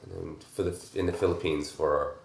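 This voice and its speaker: A middle-aged man from Taiwan, a podcast guest chatting casually, animated and quick with questions. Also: deep voice, creaky. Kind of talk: casual conversation. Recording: roomy echo.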